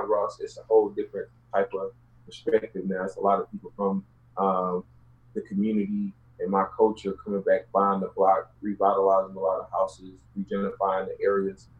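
A man with a fairly deep voice and a Houston Southern accent speaks as an interview guest. He tells personal stories in casual, streetwise language. The audio is a little muffled and lo-fi. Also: monotone, normal speed. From Houston, Texas, United States. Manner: colloquial, streetwise slang.

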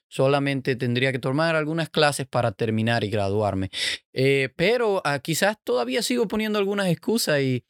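A young Cuban man with a Cuban Spanish accent, telling his church story in a casual, halting way full of fillers. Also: mid-range voice, no echo, clean studio sound. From Cuba. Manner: halting, many fillers and restarts. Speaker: podcast co-host. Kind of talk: casual testimony chat.

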